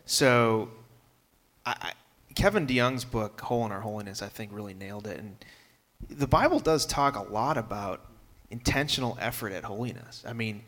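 A man's voice: fairly low pitch, moderate pace, a bit bright. A man on a conference panel speaking earnestly and conversationally, often restarting his sentences. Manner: earnest, conversational, many fillers and repeated starts. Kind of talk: panel discussion answer.

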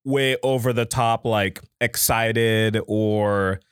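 Upbeat guy co-hosting, lowish voice, dry mic, hypes everything up. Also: fairly even intonation, takes it slowly, clean tone, no room sound. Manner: upbeat, enthusiastic. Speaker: podcast co-host. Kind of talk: casual podcast chat.

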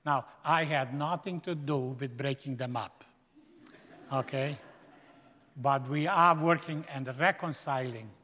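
A man with a Slovenian accent, a guest speaker giving a slow, emphatic sermon in a seminary chapel. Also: medium pitch, a bit bright. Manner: slow, emphatic preaching with stretched-out phrases. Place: large chapel. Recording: echoey hall with long reverb.

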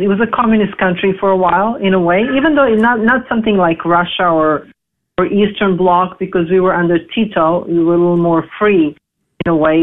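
A woman in her forties from Serbia, speaking English, telling her life story in an interview with halting, filler-heavy speech. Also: fairly low pitch. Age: 40s. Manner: halting, many fillers and restarts. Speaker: interview guest. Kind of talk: casual interview, personal story. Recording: tinny phone line.

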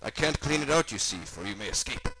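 A man's voice: mid-range voice, very animated, a little fast, a crowd in the background, pitched above the performer's own voice, lightly acted, poor audio. A man voicing an eccentric, sinister German mad scientist with a mock German accent and theatrical, over-enunciated delivery. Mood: gloating, sinister.

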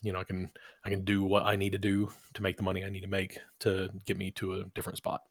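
A man's voice: deep voice, monotone, fast, no echo, studio quality. A man in his thirties chatting casually as a podcast co-host, rambling and agreeable. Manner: rambling, many fillers and restarts, agreeable. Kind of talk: casual chat.

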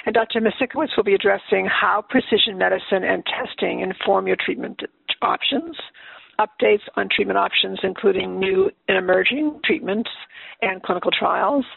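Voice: mid-range voice, normal speed, vocal fry, husky, no room sound. A webinar moderator thanks the last speaker and formally reads out the next speaker's titles. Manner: polite, formal. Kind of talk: reading speaker introduction. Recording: thin phone-like webinar audio.

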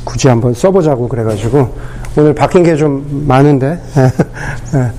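A man preaching a sermon as pastor, his voice hoarse from a cold, talking unhurriedly with many pauses and fillers. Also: medium pitch, dark tone, slight background noise.

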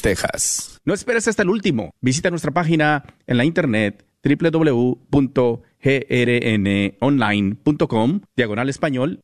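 Male radio announcer, brisk ad read, mid-pitched voice, dry studio sound. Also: dry recording. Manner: brisk promotional delivery.

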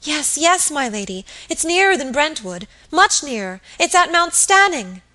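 A woman's voice: sits high, sing-song, measured pace, bright, forceful tone, voice pushed up, full character voice. A woman voicing a hysterical young servant woman in an audiobook drama, sobbing, gasping and pleading in terror. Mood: distraught, alarmed.

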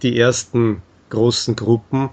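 A man answering in an interview, explaining things in a halting, measured way with frequent hesitations. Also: medium pitch, fairly even intonation, a little mellow.

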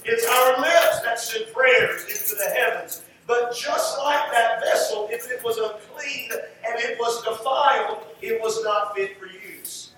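A man delivers a sermon in a high-pitched voice that rings heavily around the room. He is picked up from a distance from the mic, with music in the recording. His preaching is emphatic, leaning on repeated phrases. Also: measured pace, few pauses, fairly bright tone. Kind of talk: sermon with scripture teaching and prayer. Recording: roomy echoing hall, distant mic, music.

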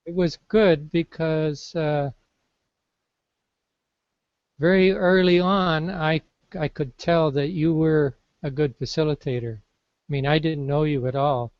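A man interviewing a guest, speaking in an unhurried, hesitant way with fillers. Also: fairly high pitch, steady tone, no room sound, highs cut off. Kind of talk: conversational interview.

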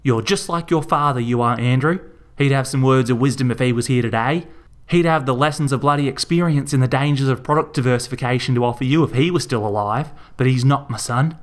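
A man voicing a bossy middle-aged woman shopkeeper with an Australian accent, shouting and swearing at her son. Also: mid-range voice, a little fast, pitched above the performer's own voice, natural voice. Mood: furious.

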